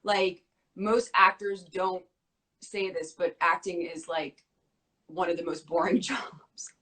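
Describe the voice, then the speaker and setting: A young adult woman talking in a casual interview, chatty and quick with lots of filler words, her voice worn from losing it. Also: lowish voice, fairly even intonation, pushed and bright, low-quality recording. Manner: fast, bubbly talk with many "like" fillers. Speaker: interview guest.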